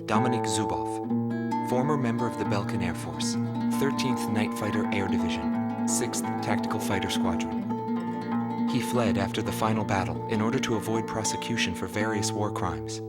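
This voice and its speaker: An actor playing a sober documentary narrator in a game, reading scripted profiles in a formal, solemn manner. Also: male voice, lowish voice, lively intonation, normal speed, close to an everyday voice. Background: loud guitar music.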